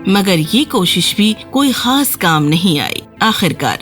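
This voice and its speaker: A woman tells a children's fairy tale as a scripted narration. Her pitch moves in a lively, animated way, and music plays behind her. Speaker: storyteller.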